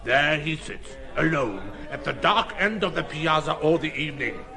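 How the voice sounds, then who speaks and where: A man's voice: fairly high pitch, expressive, moderate pace, creaky. An actor playing a resentful, gossiping hotel proprietor in an audio drama, scornful while ingratiating himself with his patrons. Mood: sneering, resentful.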